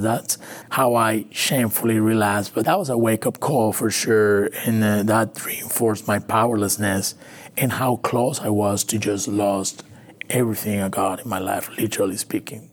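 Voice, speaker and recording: A man from Venezuela, a native Spanish speaker with a Latin American accent, telling his recovery story haltingly in an interview. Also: fairly low pitch. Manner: halting, with frequent restarts and fillers. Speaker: interview guest. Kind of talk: personal recovery story, conversational.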